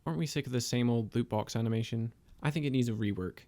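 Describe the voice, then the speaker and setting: Guy doing calm voiceover on his own animation project, explains each step, bit self-deprecating. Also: mid-range voice, quick pace. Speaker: video creator and 3D animator. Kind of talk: scripted voice-over narration.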